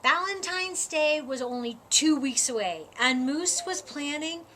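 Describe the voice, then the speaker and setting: A woman reading a children's picture book aloud in an expressive, deliberate storytelling way. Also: sits high, a little hiss or hum. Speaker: story time reader.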